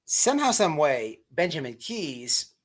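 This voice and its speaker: A man hosting an online show with off-the-cuff livestream commentary, halting as he repeats words and restarts. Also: on the high side, very animated.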